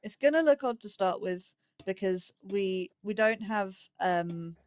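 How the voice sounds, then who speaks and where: A woman's voice: medium pitch, lively intonation, unhurried, darkish tone. A woman giving casual let's play commentary, chatting and musing aloud in a self-deprecating way. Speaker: gaming YouTuber, host of a let's play series.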